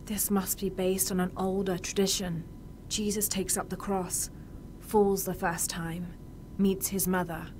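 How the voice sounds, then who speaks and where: Casting: actress for young female game explorer, inquisitive and measured, mid-range voice, noisy ambient bed. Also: flat delivery, natural voice. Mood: thoughtful. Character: young woman adventurer, thoughtful, determined explorer. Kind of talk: naturalistic video game dialogue. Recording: game ambience and rumble behind voice.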